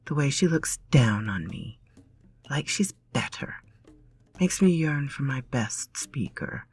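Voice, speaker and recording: Breathy, whispery woman storyteller. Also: rumbling low. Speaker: story narrator. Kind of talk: dramatic storybook reading.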